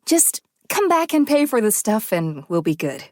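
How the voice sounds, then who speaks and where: An actress playing an amused, generous, flirty shopkeeper in a visual novel. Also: female voice, medium pitch, sing-song, normal speed, no echo, studio quality, close to an everyday voice.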